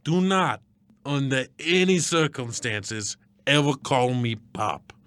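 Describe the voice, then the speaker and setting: A man playing a grumpy, folksy, conspiracy-minded father-in-law in a scripted comedy sketch, gruff and emphatic. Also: mid-range voice, animated, slow pace, a bit bright. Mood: grumpy, smug. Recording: noticeable background noise.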